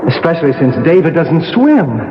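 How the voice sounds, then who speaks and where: Radio drama casting: an earnest, steadying adventurer with animated delivery, heard on a dull, noisy old recording. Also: male voice, a little high. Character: resourceful, steadying man trapped in a cave, an adventurer.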